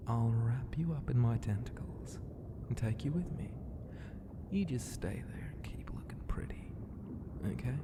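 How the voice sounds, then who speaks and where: A man voicing a flirty, mighty ocean god in an ASMR roleplay, teasing and grandiose, with a breathy voice that often slips into whispers. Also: mid-range voice. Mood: coaxing, flirty. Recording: noisy ambience with long reverb.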